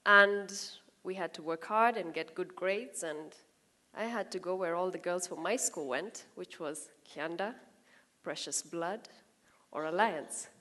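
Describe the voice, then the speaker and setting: A woman in her thirties from Kenya with a Kenyan accent, telling her life story in a lively talk with asides and acted-out quotes. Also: lowish voice, measured pace. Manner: lively, laughing, acts out quoted voices. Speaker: speaker giving a talk.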